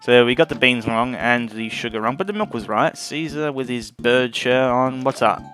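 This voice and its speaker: A man's voice: medium pitch, normal speed, fairly bright tone. Man commentating a game over music. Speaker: gaming video host. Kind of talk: casual let's play commentary, reading game dialogue aloud.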